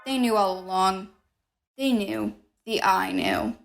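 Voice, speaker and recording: A woman's voice: mid-range voice, slow pace, gravelly, soft background music. A woman playing an unhinged, guilty murderer who narrates with false politeness and growing paranoia in a horror radio play. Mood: frantic, paranoid. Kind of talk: dramatic first-person horror monologue in radio play. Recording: echoey room with long reverb.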